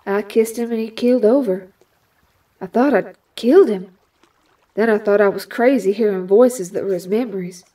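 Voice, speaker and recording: An actress playing a guarded, hot-tempered young superheroine in an audio drama, with a Southern US accent and a sassy, folksy manner. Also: female voice, medium pitch, normal speed, dark tone, distant mic, close to an everyday voice.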